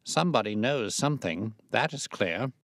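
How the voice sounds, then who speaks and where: Man playing gentleman detective, storybook sleuth, imperturbable, quietly confident, measured, lively intonation, dry sound. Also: medium pitch, vocal fry, no echo. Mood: musing. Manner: unruffled, measured. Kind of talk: audiobook character dialogue.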